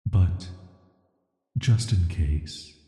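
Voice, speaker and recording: A man playing a banished, cursed villain in a sleep-aid audio drama, soft and hushed, with a flat, hesitant, measured delivery in atmospheric reverb. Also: low voice. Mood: evasive, calm. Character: banished cursed male villain, soft, flirty, secretly dangerous dream visitor. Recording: echoing, long reverb.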